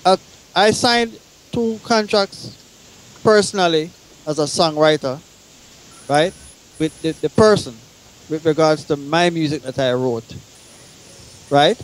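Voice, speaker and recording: A man recounting his own experience as a talk show guest, halting and repeating words as he explains. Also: on the high side, poor audio. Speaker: guest musician and songwriter.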